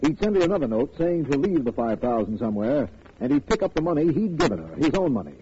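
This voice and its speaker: A man's voice: fairly high pitch, sing-song, quick pace. An actor playing an earnest, insistent lawman in a 1940s radio detective drama, explaining things emphatically. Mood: eager, reasoning. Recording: muffled, noisy old radio recording.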